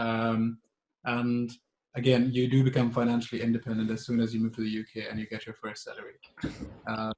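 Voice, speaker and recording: A man on a webinar panel, giving casual advice as a doctor, quick and repeating words as he thinks. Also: medium pitch, monotone, a little mellow, echoey room, a little hiss or hum, low-quality recording. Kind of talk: casual advice on a video call.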